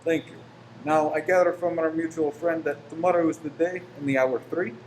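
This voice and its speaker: Man acting a smooth-mannered bird-shop professor, polite plotter, higher-pitched male voice, radio play, roomy echo. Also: fairly even intonation, fairly quick, darkish tone, natural voice. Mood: quietly conspiratorial. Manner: polite, reassuring, unctuous. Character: courteous pet-shop professor, secretly a bomb-making conspirator. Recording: roomy echo with faint noise.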